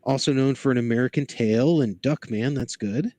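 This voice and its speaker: A man's voice: medium pitch, normal speed, mellow, no echo. A man chatting casually and enthusiastically about cartoons, with a geeky manner, as a podcast guest.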